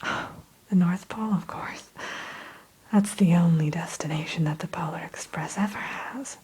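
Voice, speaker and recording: A woman's voice: fairly low pitch, normal speed, a little mellow, husky, low-quality recording. A woman playing a friendly, welcoming train greeter in an ASMR roleplay, whispering in a polite, reassuring, chatty way. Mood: warm welcome. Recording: faint background hiss.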